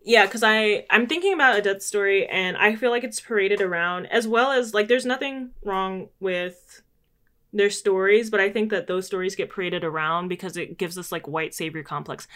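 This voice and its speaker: A woman's voice: medium pitch, normal speed, a bit bright. A young woman hosting a casual talk, thoughtful and rambling, laughing easily. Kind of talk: casual podcast chat.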